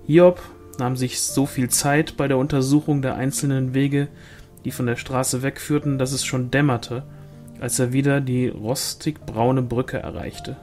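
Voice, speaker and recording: A man's voice: medium pitch, steady tone, unhurried, darkish tone. Male audiobook reader, medium register, scripted fantasy narration over a music bed.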